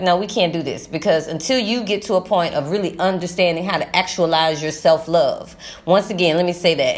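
A woman's voice: on the low side, fairly bright tone. A woman hosting a podcast, speaking in a casual, reflective motivational monologue and repeating phrases for emphasis. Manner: conversational, emphatic repetition for stress. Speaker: podcast host.

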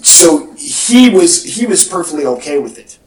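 A man talking casually as a podcast co-host, in a halting, conversational way. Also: fairly high pitch, sing-song, darkish tone. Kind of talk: casual chat about movie news. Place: indoor room with echo. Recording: echoey room, distant from mic.

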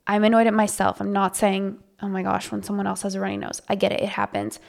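A woman co-hosting a podcast in casual chat, a bit congested and nasal, self-deprecating and quick to laugh at herself. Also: mid-range voice, little pitch movement, creaky, darkish tone. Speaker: podcast co-host. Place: home podcast setup.

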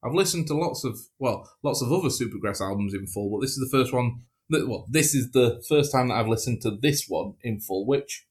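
A man in his thirties co-hosting a podcast from home, with a dry, deadpan humour. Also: mid-range voice, fairly quick. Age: 30s. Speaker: podcast co-host. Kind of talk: casual chat. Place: home, recording remotely.

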